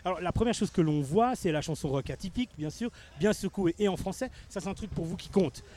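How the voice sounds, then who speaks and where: A man hosts a relaxed radio chat, his voice sitting a little high for a man. He talks easily and laughs often, restarting his sentences as he goes. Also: a little fast. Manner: chatty, laughs easily, stumbles over words. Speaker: radio host. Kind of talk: casual radio interview.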